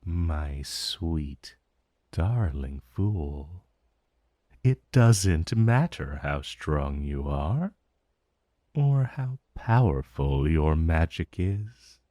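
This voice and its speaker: A man's voice: extremely low pitch, expressive, dark tone, no echo, studio quality, heavily acted. A man playing an ancient dragon in human form, haughty and teasing with a vague menace, in a theatrical, condescending purr for a fantasy ASMR roleplay. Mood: condescending, menacing. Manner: slow, theatrical, condescending purr with mocking endearments. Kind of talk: fantasy ASMR roleplay audio, addressing the listener.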